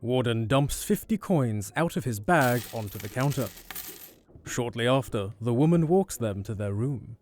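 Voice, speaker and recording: A man serves as narrator for a fantasy audio drama. His voice is mid-pitched, soft-edged and dark in tone, reading scripted scene descriptions. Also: expressive, a little slow, slight background noise.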